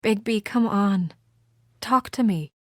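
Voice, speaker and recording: A woman playing an earnest, weary and conscientious young administrator in a video game, speaking in a measured, serious, sometimes hesitant way. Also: mid-range voice, a little mellow, no room sound, studio quality, lightly acted. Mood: wary, probing. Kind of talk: naturalistic video game voice acting.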